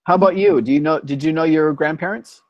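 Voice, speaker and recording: A man in his fifties hosting a casual video call, talking slowly and plainly with a patient manner. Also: on the high side, no echo, dull audio. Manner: patient, slow, plain speaking with restarts. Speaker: host of a conversation practice call. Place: home room, indoors. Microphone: earphone headset on video call.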